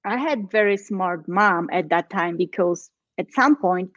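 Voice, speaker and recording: A woman's voice: fairly low pitch, measured pace, dry recording. Polish woman, earnest and heartfelt, kinda muffled dry sound. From Poland. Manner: earnest, tells her story with feeling, acts out quoted voices. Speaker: guest, change and transition coach. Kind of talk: personal story in a podcast chat.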